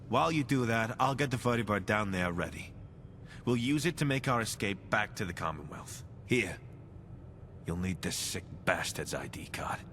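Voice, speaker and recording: An actor playing a weary, guilt-ridden soldier companion in a video game, conversational and brooding, with sighs. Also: male voice, fairly low pitch, fairly bright tone, a little hiss or hum. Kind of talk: video game character dialogue.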